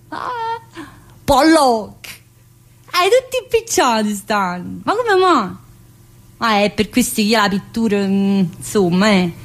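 An actress playing a restless, needy, brash woman prisoner in a theatrical monologue, speaking colloquial, dialect-laced Italian with a southern accent. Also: medium pitch. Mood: musing, bemused. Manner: colloquial, dialect-laced, addressing an unseen teacher.

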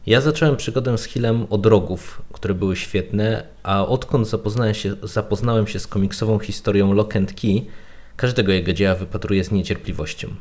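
Low-voiced man giving commentary. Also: a little mellow. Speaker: podcast guest commentator. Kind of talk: prepared opinion commentary.

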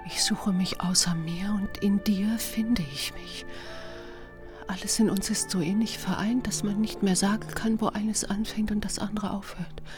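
A woman's voice: medium pitch, steady tone, moderate pace, close to an everyday voice. Actress as a lyrical, passionate woman in love. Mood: tender, solemn. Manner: lyrical, earnest, declamatory. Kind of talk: poetic German radio drama acting. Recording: music behind the voice.

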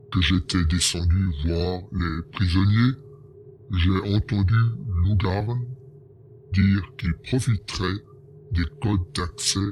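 Brief: man playing a worried, pleading bear-like alien counselor in an audio drama, low voice, slow delivery. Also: a little mellow, slight background noise. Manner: hesitant, pleading. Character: anxious male bear-like alien advisor.

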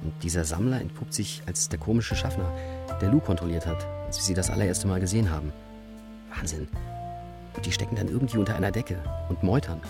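Tiefe, nachdenkliche Männerstimme, Musik dahinter. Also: male voice, low voice, quick pace, gravelly, over music. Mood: reflective. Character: shaken man looking back on a group's collapse. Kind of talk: German radio crime drama, retrospective account.

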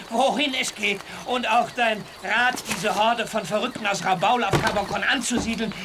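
A paranoid island zealot in a radio play, pompous and accusatory. His agitated voice sits away from the microphone, with clear noise around it. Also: extremely high pitch, recorded from a distance. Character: paranoid, fanatical island sect leader raging at betrayal. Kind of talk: radio drama acting. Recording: off-mic with noise behind.

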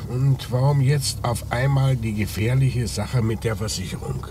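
An actor playing a terse, probing police inspector interrogating a suspect in a 1950s radio crime drama. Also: male voice, mid-range voice, normal speed, close to an everyday voice. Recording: noisy old 1950s radio recording.

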